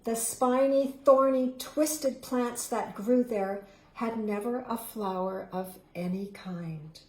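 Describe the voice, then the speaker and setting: A woman reading a children's storybook aloud, expressive and savoring each word, stopping for playful asides to her young listeners. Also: fairly high pitch, slow pace. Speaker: local councillor reading a children's book. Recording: echoey room.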